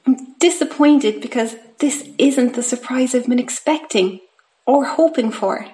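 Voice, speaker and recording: An excitable young boy, played by a woman tells his own story in an audiobook. The voice is high and childlike, confiding in the listener with plenty of expression. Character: excitable football-mad boy lying unresponsive in hospital. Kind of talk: first-person audiobook narration.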